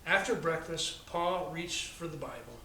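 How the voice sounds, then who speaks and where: An American man, a pastor, reading a children's story aloud in an expressive storytelling way. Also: on the high side, a little slow. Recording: echoey, distant, noisy room recording.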